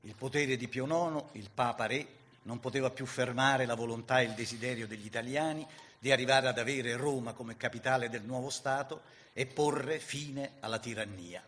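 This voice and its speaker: A man's voice: medium pitch, moderate pace, fairly bright tone. A man giving dramatic scripted historical narration as a stage narrator.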